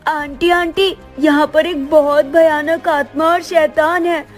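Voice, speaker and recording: A scared little boy , voiced by a woman, pipes up very high and slow in a horror audio story, with music underneath. He sounds urgent and afraid. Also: fairly even intonation. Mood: frightened. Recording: music playing behind.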